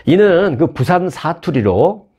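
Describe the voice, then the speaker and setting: A man's voice: fairly high pitch, big pitch swings, takes it slowly, mellow, husky. A man from Seoul hosting a news commentary show in a chatty, conversational way, with asides and rhetorical questions. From Seoul, South Korea.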